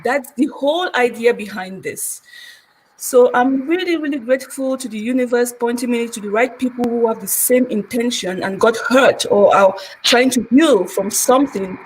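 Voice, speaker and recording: A woman's voice: fairly high pitch, normal speed, slight background noise, poor audio. A woman in her thirties hosting an interview, effusive and a little hesitant. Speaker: host. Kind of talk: conversational interview.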